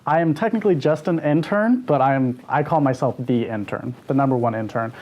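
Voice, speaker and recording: A man's voice: medium pitch, a little fast, a little mellow, dull audio. Upbeat young man from Northern California. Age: young adult. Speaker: graduate student intern. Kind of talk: interview testimonial.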